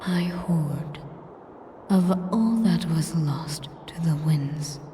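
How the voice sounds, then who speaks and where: A woman playing an ancient, sadistic female air dragon in a dramatic audio roleplay, breathy and whispery, speaking deliberately with haughty, menacing condescension. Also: low-pitched, mellow, slight background noise. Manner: slow, deliberate, condescending, taunting. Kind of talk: dramatic scripted roleplay monologue.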